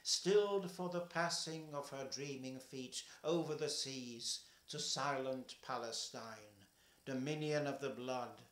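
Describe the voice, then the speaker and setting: An older man reading a poem aloud at home, slow and measured, his voice falling soft at the ends of lines. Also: mid-range voice, roomy sound. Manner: slow, measured, trailing softly at line ends. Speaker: poetry reader. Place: home kitchen, indoors.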